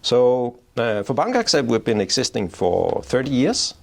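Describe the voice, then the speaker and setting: A man's voice: medium pitch, very animated, moderate pace, vocal fry. A middle-aged man from Denmark, a payments executive, explaining his field in an interview at his office, often restarting his phrases. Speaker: payments strategy executive, guest. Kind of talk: interview answers. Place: office in Oslo.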